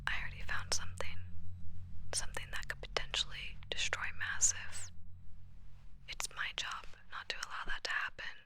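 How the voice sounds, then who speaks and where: A man's voice: normal speed, close to an everyday voice. A man playing a smug, menacing enforcer in a whispered sci-fi ASMR roleplay, taunting and insinuating as he speaks. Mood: stern, threatening. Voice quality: low, gravelly whisper.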